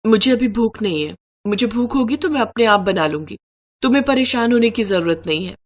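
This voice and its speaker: A woman's voice: on the high side, expressive, a little fast. A woman voicing a jealous, scheming elder sister-in-law who talks in a curt, resentful mutter.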